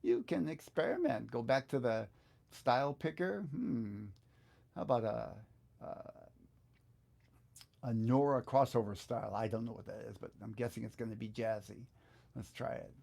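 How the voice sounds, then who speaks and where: A middle-aged or older man giving a casual hands-on software music lesson, thinking aloud in an easygoing, halting way as he works. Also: mid-range voice, creaky. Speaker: guitar teacher presenting a software tutorial. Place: small indoor home studio, seated at computer. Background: backing track music.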